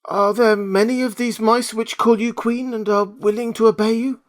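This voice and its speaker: A storybook reader voicing an eager, quick-talking scarecrow in a lifted voice. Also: medium pitch, steady tone, voice pushed up, natural voice. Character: eager talkative straw man.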